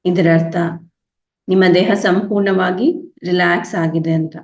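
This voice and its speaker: A woman with a Kannada accent leading a guided meditation, giving calm, unhurried instructions with long pauses. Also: on the low side. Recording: dull, echoey lo-fi room sound.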